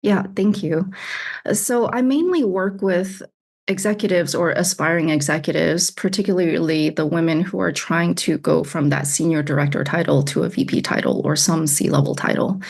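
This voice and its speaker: A woman's voice: on the low side, moderate pace, gravelly, a little mellow. A woman from Alabama working as an executive coach, answering interview questions in a candid, chatty way with frequent laughs. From United States (Alabama). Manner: candid, laughs often, repeats words.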